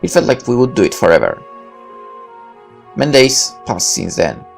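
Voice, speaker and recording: A man narrates a visual novel in the first person, reading it dramatically. His voice is creaky with a lively range of pitch, over faint background music. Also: moderate pace.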